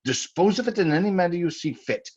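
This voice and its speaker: An actor playing a stern, authoritative doctor of the supernatural, grave and deliberate, in an old-time radio drama. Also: male voice, sits high, lively intonation, darkish tone, breathy edge. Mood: solemn. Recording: muffled old radio recording.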